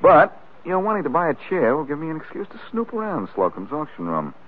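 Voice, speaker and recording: An actor playing a breezy, confident, wisecracking amateur sleuth in a 1940s radio drama. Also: male voice, fairly high pitch, huge pitch swings, a little fast. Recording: tinny, slightly noisy 1940s radio recording.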